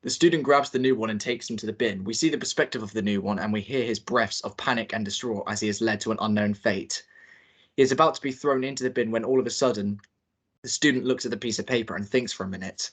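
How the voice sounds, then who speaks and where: A man reads the stage directions at a script read-through in a plain, steady manner. His voice sits a little low. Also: quick pace, slightly rough. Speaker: narrator reading stage directions. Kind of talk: table read narration.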